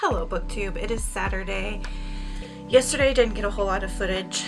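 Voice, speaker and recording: A woman's voice: medium pitch, a little fast, over loud music. A woman book vlogger chatting casually to camera in informal, slangy language.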